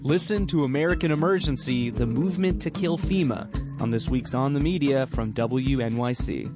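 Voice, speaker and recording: Male promo narrator over clear guitar. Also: medium pitch, moderate pace. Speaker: radio show promo narrator. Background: plucked guitar music.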